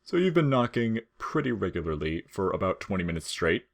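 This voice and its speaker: A man's voice: low-pitched, very animated, a little fast, gravelly, exaggerated voice. A man voicing a lazy, grinning skeleton slacker from a game story, talking in casual slang with dry, teasing humor. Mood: casual, amused. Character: laid-back, wisecracking lazy male skeleton, grinning slacker.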